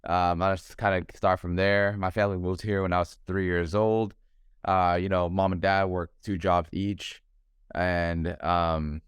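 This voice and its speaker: A young man with a low, smooth voice talks loosely and at length, dropping "man" and "bro" as he goes. He is a podcast guest on a video call from home. The sound is close and dry. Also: no room sound. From Thailand. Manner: relaxed, talkative, calls the host bro and man. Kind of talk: casual interview chat.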